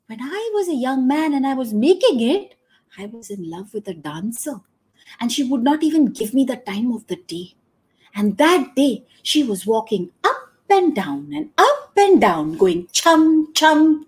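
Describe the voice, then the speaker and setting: A woman's voice: fairly high pitch, voice pushed up. A woman voicing a doddering old male bricklayer in a told folktale, rambling anxiously through his excuses.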